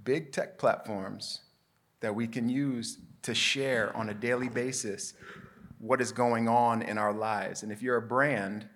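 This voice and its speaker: A man's voice: mid-range voice, fairly even intonation, measured pace, a bit bright. A man speaking as a panelist about business, starting hesitantly and then explaining steadily. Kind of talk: live panel discussion.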